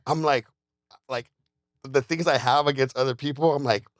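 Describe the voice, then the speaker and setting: A man's voice: mid-range voice, steady tone, normal speed, no room sound, clean studio sound. A man hosting a podcast, talking candidly and reflecting on himself, often restarting his words. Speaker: podcast host. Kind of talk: casual conversation.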